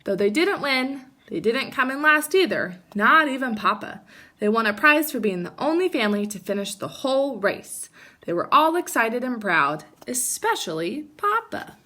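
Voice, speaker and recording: A woman teacher reading a children's storybook aloud in an animated, emphatic way and speaking directly to young listeners. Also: fairly high pitch, moderate pace. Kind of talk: expressive children's storybook read-aloud with asides.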